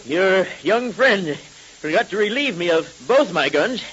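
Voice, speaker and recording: An actor playing a menacing, taunting hooded outlaw with a raspy voice in a 1930s radio serial. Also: male voice, high voice, expressive, measured pace, a bit bright. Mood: menacing, gloating. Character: menacing, taunting hooded outlaw rider, adult male villain. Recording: muffled, hissy 1930s radio recording.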